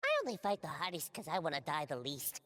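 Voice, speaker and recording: A woman's voice: low voice, animated, fast talker, fairly bright tone. A woman playing a cowardly, chatty little alien soldier in a video game, heard with long reverb. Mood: sheepishly candid. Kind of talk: video game character monologue.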